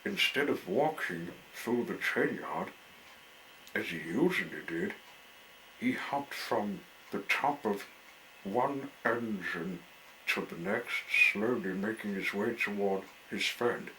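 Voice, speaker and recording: Slow, bright-voiced male storyteller. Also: fairly low pitch, slight background noise, rough recording. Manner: deliberate, broken phrasing. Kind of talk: reading a children's story aloud.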